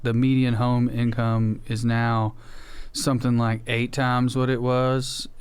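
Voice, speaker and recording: Clear-voiced American man, casual podcast banter. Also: medium pitch, flat delivery, slow. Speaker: podcast co-host and comedian. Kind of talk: casual comedic podcast chat.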